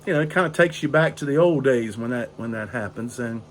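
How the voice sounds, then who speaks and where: An older man, raspy and hoarse from a cold, rambling slowly through a casual story on his porch. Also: mid-range voice, slight background noise. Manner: slow, rambling, with frequent fillers. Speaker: pipe-smoking video host. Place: outdoor porch in drizzling rain.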